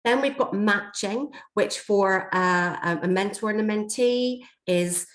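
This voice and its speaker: Slightly hoarse woman leading a video-call workshop. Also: mid-range voice, unhurried. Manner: steady explanatory delivery with frequent fillers. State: slightly hoarse, coughing from a chest infection. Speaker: learning and development trainer. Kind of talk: online workshop presentation. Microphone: computer mic on a video call. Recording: echoey room over a video call.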